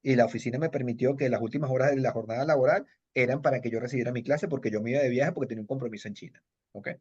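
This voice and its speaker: A man's voice: medium pitch, very fast, a little mellow, breathy edge. A middle-aged Venezuelan man with a Venezuelan Spanish accent, telling stories in a casual interview, rambling with many fillers and restarts. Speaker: kung fu master and judge, interviewee. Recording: dull lo-fi audio.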